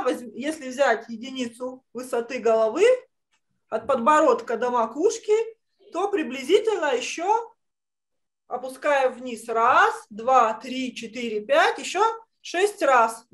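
Female art teacher, middle-aged, with a high-pitched voice, giving children encouraging step-by-step drawing instruction over a video call. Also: lively intonation, measured pace. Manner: encouraging, uses affectionate diminutives. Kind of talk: online drawing lesson for children. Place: indoor room, on a video call.

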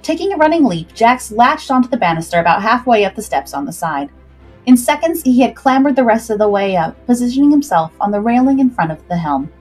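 A woman's voice: a little high, big pitch swings, a little fast, a little mellow, slightly rough, soft background music, poor audio. A woman narrating a scripted adventure story expressively as the host of a livestream.

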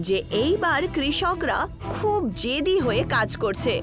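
Female narrator for animated folk tale: high, animated, expressive storytelling over music. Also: a little slow. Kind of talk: scripted storybook narration for a cartoon. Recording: music behind the voice. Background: background music.

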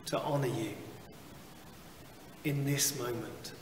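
A man in his thirties leading worship as a chaplain, reading psalms and prayers in a slow, measured liturgical way, recorded in a small chapel from a camera at a distance. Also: medium pitch, fairly even intonation, reverberant, in a large hall, noisy background. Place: small chapel with wood-panelled wall. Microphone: far camera, seated behind a lectern.

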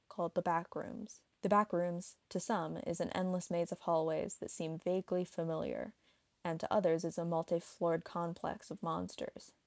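A woman's voice: on the low side, darkish tone, dry recording. A woman reading a scripted explainer narration for an online video.

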